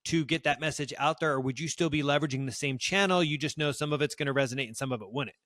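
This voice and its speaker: Fast-talking, upbeat male podcast host. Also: on the high side, fairly bright tone, no echo, studio quality. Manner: quick, eager, agreeable. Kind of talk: casual podcast interview.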